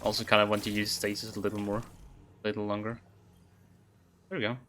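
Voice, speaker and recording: A man's voice: lowish voice, completely flat delivery, brisk, sharp tone, a little hiss or hum. A man giving casual, rambling live gameplay commentary as a game streamer, easily impressed by what happens.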